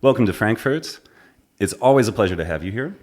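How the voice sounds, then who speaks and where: A man with a deep, low-pitched voice hosts a relaxed podcast interview. He asks friendly, unhurried questions, recorded indoors in a conductor's room. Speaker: podcast host, double bass player. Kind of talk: casual interview conversation.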